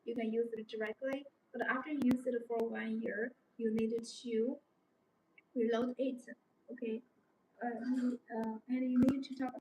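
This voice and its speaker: A woman's voice: on the high side, darkish tone. A young woman, not a native English speaker, giving an unscripted, repetitive live sales demonstration of a product. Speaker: live-stream product presenter. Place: indoor showroom studio with desk and backdrop. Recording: roomy, distant mic, background noise.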